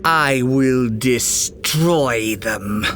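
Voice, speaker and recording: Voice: medium pitch, extremely slow, noisy background. A storyteller voicing a cruel, imperious sorceress queen in a children's tale, sneering and commanding, with music behind. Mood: seething fury. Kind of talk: storybook read-aloud character voice. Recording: background music.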